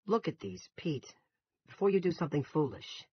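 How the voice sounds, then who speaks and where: Male narrator as young store manager, exasperated, pleading, strained, much higher pitch, husky, audiobook character. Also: wildly swooping pitch, drawn-out words, no echo, highs cut off, full character voice. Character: exasperated baby-faced thirty-something grocery store manager.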